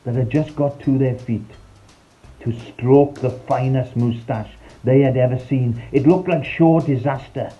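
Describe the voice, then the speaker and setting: A man's voice: medium pitch, fairly even intonation, a little slow, mellow, dull audio. A man reading a scripted, rhyming children's story in a playful, theatrical storytelling manner. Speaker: storyteller, narrator. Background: background music.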